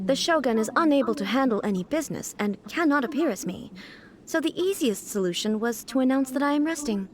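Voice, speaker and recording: A woman voicing a reclusive, contemplative female deity in a video game, speaking in a measured and articulate manner. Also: high voice, slight background noise.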